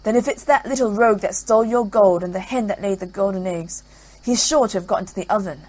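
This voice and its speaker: A woman voicing the ogre's fussy wife in a chiding, quick manner in a storybook reading. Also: medium pitch, a little mellow, lightly acted, low-quality recording.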